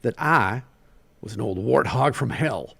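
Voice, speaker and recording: A haughty middle-aged woman of the , performed by a man reading a story. The delivery seethes, with muttering and growls. The voice is creaky and very dry in the recording. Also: mid-range voice, lively intonation, normal speed, darkish tone, dry recording. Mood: wounded fury.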